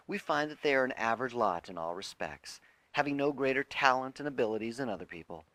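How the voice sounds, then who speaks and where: A man narrating a self-help audiobook in a deliberate, emphatic, instructive way. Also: medium pitch, a bit bright, no echo. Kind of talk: scripted audiobook reading of a self-help text.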